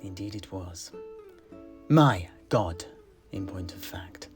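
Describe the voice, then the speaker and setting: A man's voice: deep voice, lively intonation. Man playing a theatrical tavern keeper storyteller over guitar music. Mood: confiding, dramatic. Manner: theatrical, deliberate storytelling with hushed emphases. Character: old tavern keeper priest recounting his adventurous life. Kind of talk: in-character fantasy storytelling. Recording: music behind the voice. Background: plucked guitar music.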